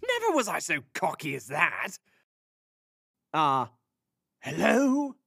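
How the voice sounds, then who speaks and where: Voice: mid-range voice, wildly swooping pitch, takes it slowly, vocal fry, pushed and bright, no room sound, clean studio sound, exaggerated voice. A pompous, theatrical fantasy sorcerer. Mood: indignant. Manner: pompous, theatrical, haughty with flustered hesitations. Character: pompous, cocky, theatrical magical henchman sorcerer serving an empress. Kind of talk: fantasy audio drama acting.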